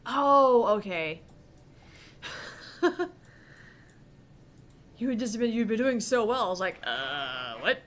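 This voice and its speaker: A woman's voice: fairly high pitch, slow pace, long pauses, a little hiss or hum. A woman co-hosting a gaming channel, chatting casually as she plays. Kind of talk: casual gameplay chat.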